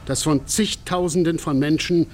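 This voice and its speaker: A man reading a scripted radio documentary narration in a solemn, measured manner. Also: on the high side, steady tone, darkish tone, natural voice.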